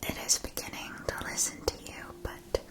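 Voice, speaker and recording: A woman voicing a gentle, attentive wand shopkeeper in a fantasy ASMR roleplay, whispering with soothing, careful enunciation. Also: normal speed, close to an everyday voice. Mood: soft, attentive. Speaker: ASMR performer.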